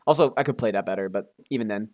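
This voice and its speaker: A man's voice: lowish voice, very fast, darkish tone. A man giving casual live game stream commentary, thinking aloud as he talks through his options.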